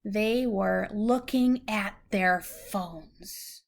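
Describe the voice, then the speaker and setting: Very slow, patient woman reading aloud. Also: medium pitch, vocal fry. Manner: patient, simple teacherly phrasing. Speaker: adult reading a story aloud to a young child. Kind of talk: slow read-aloud storytelling with simple explanations to a child.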